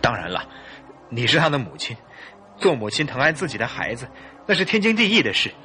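An actor playing an emotional, tender-hearted English husband in a theatrical, exclamatory Mandarin radio drama style. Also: male voice, mid-range voice, very animated, brisk, bright, forceful tone, faint music behind. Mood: understanding.

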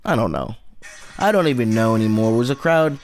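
A man's voice: mid-range voice, animated, brisk, slight background noise. A man in his late twenties hosting a solo podcast, rambling through loose, profane casual commentary. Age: 20s. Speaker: podcast host.

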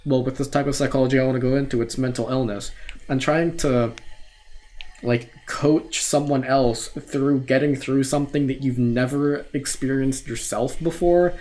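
A young man in his late teens, originally from Australia, who talks with an American accent. His voice sits at an ordinary middle pitch. He chats loosely on a podcast, full of restarts and casual profanity. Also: steady tone, normal speed, a little mellow. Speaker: podcast co-host. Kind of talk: casual chat.

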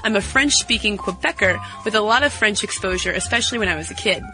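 Bright-voiced woman podcast co-host. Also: mid-range voice, animated, quick pace, soft background music. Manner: chatty and amused. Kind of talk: reading listener mail on a podcast.

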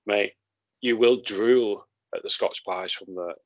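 A low-pitched English man with a gravelly edge to his voice hosts a casual podcast chat. He keeps calling his guest "mate" and drops the odd swear word. The audio is dry and dull. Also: fairly even intonation, slow, no room sound. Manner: matey, swears casually, says "mate" a lot. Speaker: podcast host.

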